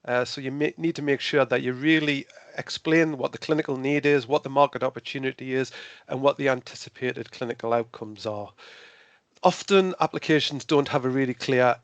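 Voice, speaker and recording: A man with a cold, sounding a little hoarse, giving a hesitant, informal webinar presentation over a video call. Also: medium pitch, a little fast, no room sound. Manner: hesitant, many fillers and restarts.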